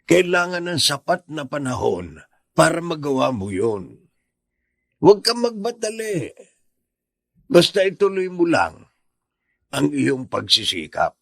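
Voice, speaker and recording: An actor playing a patient, wise middle-aged neighbour with a gravelly voice, giving fatherly advice in a radio drama. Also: on the high side, very animated, measured pace, pauses often, no room sound, heavily acted. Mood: encouraging. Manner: fatherly, advising.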